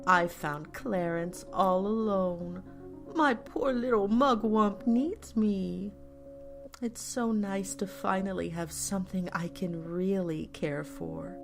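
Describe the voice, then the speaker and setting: Smug martyr aunt played by a woman, cooing syrupy and slow over her pet, music underneath. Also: mid-range voice, gravelly. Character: smug, doting, self-dramatizing aunt fussing over her pet creature. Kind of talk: audio horror-comedy story acting.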